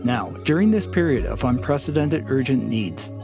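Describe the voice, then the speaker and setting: A man's voice: mid-range voice, expressive, normal speed. Man reading promos over music. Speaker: podcast announcer reading sponsor messages. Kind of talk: scripted promotional read.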